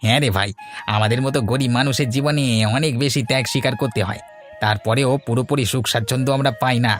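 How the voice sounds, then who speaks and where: A man's voice: mid-range voice, fairly even intonation, fast, faint music behind, lightly acted. A man playing a poor, hardworking, world-weary village fisherman in a cartoon fairy tale. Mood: resigned.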